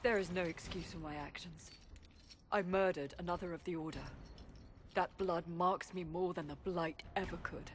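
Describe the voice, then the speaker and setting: An actress playing a broken, remorseful, self-condemning soldier in a video game, speaking gravely and formally. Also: female voice, on the low side, steady tone, moderate pace, a little hiss or hum, natural voice. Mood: guilty, resolute. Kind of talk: video game dramatic acting.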